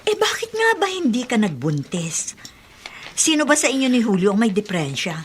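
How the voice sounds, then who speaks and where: A woman's voice: on the high side, sing-song, moderate pace, slight background noise. A Filipino actress playing a frank, chatty young mother and friend in a radio drama. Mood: frank, teasing. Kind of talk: Tagalog radio drama acting.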